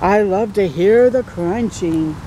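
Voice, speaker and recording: Lady reading as curious little monster kid, slow storybook talk, noisy outdoor recording. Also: medium pitch, pitched below the performer's own voice, close to an everyday voice. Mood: eager, curious. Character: eager little boy monster admiring his dad.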